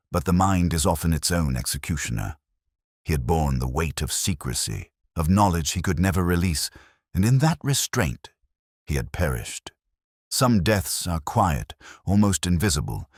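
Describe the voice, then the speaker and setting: Very deep-voiced man narrating a detective story. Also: no echo, clean studio sound. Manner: measured, literary, reflective. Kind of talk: scripted first-person detective fiction narration.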